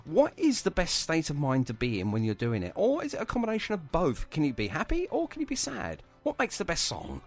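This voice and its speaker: A man's voice: on the high side, huge pitch swings, brisk, few pauses, soft background music. A man hosting a live radio interview in a chatty, effusive, rambling and anecdotal way. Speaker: radio show host.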